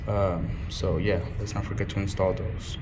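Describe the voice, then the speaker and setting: A man with a lowish, very even voice talks through a hands-on job in a casual, unscripted way. He pauses often mid-sentence as he explains each step. Clear noise sits behind him on the job site. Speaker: installer presenting a how-to. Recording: clearly noisy on-site recording.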